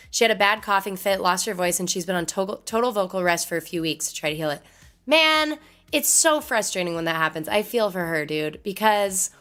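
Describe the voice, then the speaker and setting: A woman musician with a hoarse voice from laryngitis, chatting casually and rambling as she answers her livestream viewers. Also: medium pitch, a bit bright. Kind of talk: casual chat with stream viewers.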